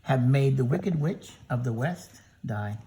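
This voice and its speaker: A man's voice: mid-range voice, animated, a little slow, warm tone, a little hiss or hum, natural voice. A man voicing a kindly, helpful good witch in a storybook reading, close to his own voice. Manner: plain, close to the reader's own voice.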